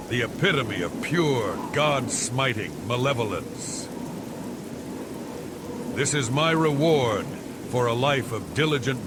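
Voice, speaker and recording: A man playing a boastful heretic villain in a fantasy game, pompous, theatrical and full of contempt. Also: medium pitch. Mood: menacing, boastful. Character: boastful, menacing heretic villain, damned priest-slayer. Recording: loud rain and thunder noise behind.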